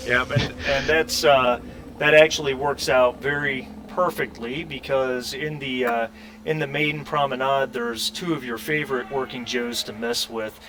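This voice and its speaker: A man narrating a tabletop role-playing game as game master, halting and conversational as he searches for words. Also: mid-range voice, steady tone, bright, forceful tone, soft background music, low-quality recording.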